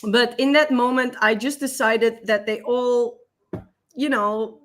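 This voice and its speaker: A woman's voice: on the high side, steady tone. Dutch-accented woman telling an emotional story. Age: 30s. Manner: emotional, halting, repeats words, laughs often. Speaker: guest. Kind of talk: personal story in an interview.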